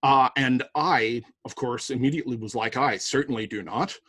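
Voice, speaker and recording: Canadian male lecturer, husky mid-pitched voice, chatty, storytelling, dry close recording. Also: moderate pace, a bit bright, no echo. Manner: conversational, anecdotal, lots of fillers and rhetorical "right?". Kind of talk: university lecture.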